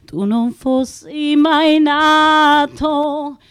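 A woman performing a theatrical, melancholy love song with slow, drawn-out phrasing. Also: sits high, fairly even intonation, fairly bright tone, no echo, faint music behind.

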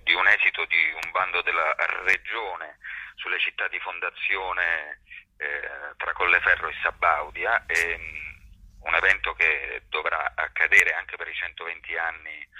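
Guy explaining stuff in an interview, deep raspy voice, lots of halting, kinda dull lo-fi sound. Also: pushed and bright, dry recording. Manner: hesitant, halting, thoughtful phrasing. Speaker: actor and workshop teacher being interviewed.

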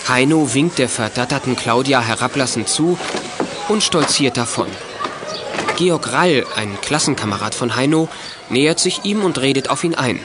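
A man's voice: medium pitch, lively intonation, moderate pace, lightly acted. A man reads scripted, matter-of-fact narration for a children's detective audio drama. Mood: narrating. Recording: clearly noisy old audio play recording.

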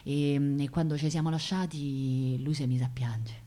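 An actress plays a young working-class woman from a radio play, with a Roman accent and a slightly low voice. She is plainspoken, proud and quick to take offence, repeating words as she confides in colloquial, emotional speech. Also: steady tone, warm tone, lightly acted. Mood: wistful, confiding. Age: young adult. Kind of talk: theatrical radio drama monologue.

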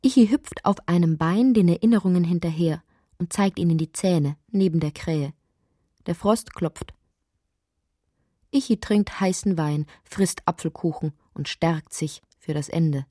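A musing female narrator of an absurd literary radio play. She has a low, soft-edged, mellow voice and speaks in deliberate, measured phrases, recorded very dry and close. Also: dry recording. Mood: musing, wry. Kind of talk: literary audio drama narration.

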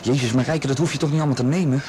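A man's voice: mid-range voice, racing, noisy background, close to an everyday voice. A man playing a concerned, insistent young friend in a radio play. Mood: insistent, worried. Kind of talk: Dutch radio play acting.

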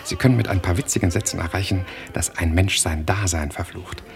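A very deep-voiced, worldly Parisian journalist in a radio play. He is glib, cynical and persuasive, a mocking schemer, with music playing clearly behind him. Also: brisk. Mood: persuasive, eager. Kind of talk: German radio play acting.

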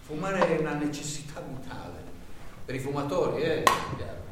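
A man's voice: a little high, very animated, a little fast. An actor on stage playing a chatty, anxious man in a waiting room, who rambles and stammers. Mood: rambling. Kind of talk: live theatre performance. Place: theatre stage. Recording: roomy stage sound from far off, noisy.

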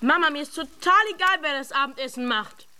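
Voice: high-pitched, brisk, bright, forceful tone. A young performer playing a defiant, grumpy older boy who talks bluntly and sulkily in a radio drama. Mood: angry defiant. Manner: blunt, sulky, challenging. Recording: noisy background with clicks.

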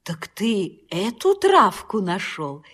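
A mother deer in a storybook audio play, speaking very slowly in a husky voice. She is gentle, patient and soothing, like a caring teacher of her young. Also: mid-range voice, huge pitch swings, full character voice. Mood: patient, cautioning. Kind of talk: children's audio fairy tale acting.

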